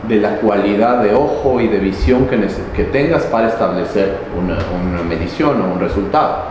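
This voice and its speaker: A man explaining local history in an interview, pausing often with fillers and restarts. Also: fairly low pitch. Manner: halting, many fillers and restarts. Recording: very echoey, noisy, reverberant space.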